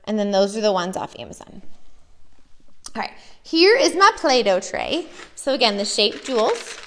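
An American woman, a preschool teacher, chatting enthusiastically and casually as she shows classroom activities. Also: on the high side.

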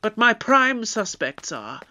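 Brisk, authoritative space detective in an audio drama. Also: mid-range voice, very animated, noisy background. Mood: brisk, probing.

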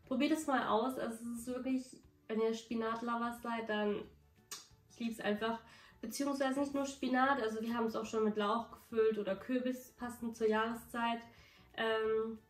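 Chatty young woman in an echoey room. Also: a little high. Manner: chatty and relaxed. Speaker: food vlogger. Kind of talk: casual vlog chat. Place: home rooms and kitchen, indoors. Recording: echoey room with background noise.

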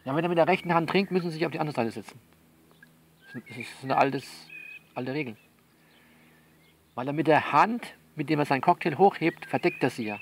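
A man playing a frank, worldly coach who talks a female client through things by phone in a blunt, coaxing, colloquial way with repeats and pauses. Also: fairly high pitch, gravelly, slight background noise. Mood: matter-of-fact, advising. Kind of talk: improvised-sounding one-sided phone monologue in an audio novel.

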